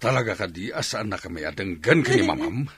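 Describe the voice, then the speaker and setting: An actor with an Ilocano accent playing a domineering, angry middle-aged father in a radio drama, forceful and scolding. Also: low voice, expressive, fast talker, breathy edge, full character voice. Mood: furious, scolding. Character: domineering, angry father forcing his daughter to marry.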